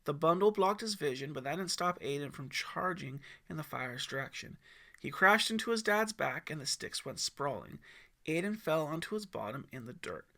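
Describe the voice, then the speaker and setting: A man narrating a scripted story as an audiobook reader, in an expressive storytelling style. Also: a little high, normal speed, gravelly.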